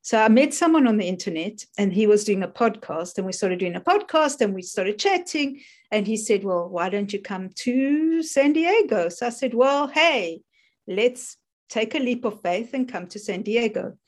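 A woman's voice: a little high, expressive, moderate pace. A middle-aged woman with a South African accent, a guest in a casual interview, talking in a rambling, chatty way and laughing often. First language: English. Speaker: guest, fear coach.

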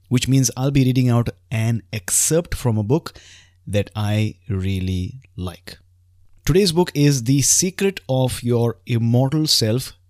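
A man's voice: medium pitch, darkish tone, no echo, studio quality. A man hosting a podcast, speaking earnestly and emphatically straight to his listeners. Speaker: podcast host. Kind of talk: upbeat podcast hosting and reading book excerpts aloud.

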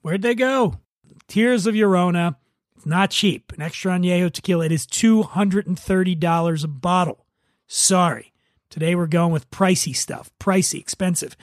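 Male podcast host, slightly high voice, dry close sound, conversational reviewer delivery, wry asides. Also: dry recording. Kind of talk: semi-scripted product review with casual asides.

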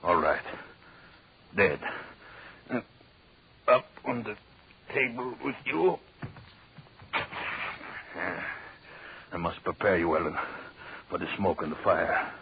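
An actor playing a deranged, vengeful man in brooding, halting, theatrical 1930s radio horror monologue. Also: low voice, sing-song, gravelly, heavily acted. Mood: obsessive, menacing. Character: deranged vengeful man gloating over a shrunken corpse. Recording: muffled, hissy 1930s radio recording.

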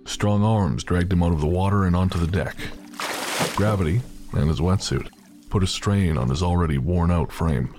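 A man's voice: low-pitched, moderate pace, over music. A man narrating a scripted audio drama as its storyteller.

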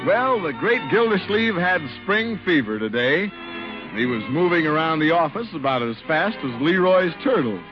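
A man's voice: fairly high pitch, big pitch swings, normal speed, loud music behind the voice. A man reading scripted ad copy and narration as a 1950s radio announcer. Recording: muffled 1950 radio recording.

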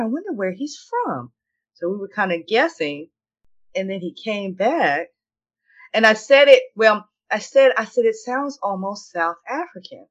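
Middle-aged female co-host, medium pitch, emphatic storyteller, dull lo-fi sound. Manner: chatty anecdote-telling, emphatic and opinionated. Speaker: podcast co-host. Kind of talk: casual conversation.

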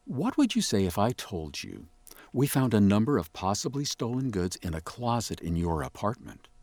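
A police interrogator in an audio drama, recorded dry with no room sound. The pitch ranges extremely widely, from terse, dry questioning to flustered emphasis. The officer is skeptical and easily exasperated. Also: big pitch swings, normal speed, a little mellow, no room sound, heavily acted. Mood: stern. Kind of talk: audio drama dialogue acting.

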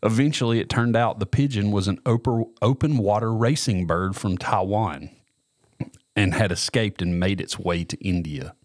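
A man's voice: fairly low pitch, normal speed, no echo, studio quality. A man, co-hosting a podcast, reading a news story aloud and often tripping over names. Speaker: podcast co-host. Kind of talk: casual chat, reading a news article aloud.

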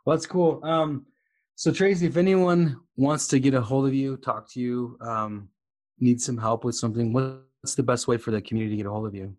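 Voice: mid-range voice, fairly even intonation, brisk. Easygoing podcast host with a mid-range voice who keeps asking friendly follow-ups. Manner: curious, asks short follow-up questions with soft backchannels. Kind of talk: casual interview chat.

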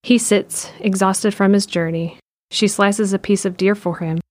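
A woman narrating an audio drama in a calm, steady read. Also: medium pitch, measured pace.

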